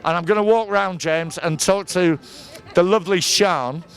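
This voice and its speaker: A man's voice: high-pitched, moderate pace. A man with a Northern English accent doing jokey, bantering street interviews as a host at an outdoor market. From northern England.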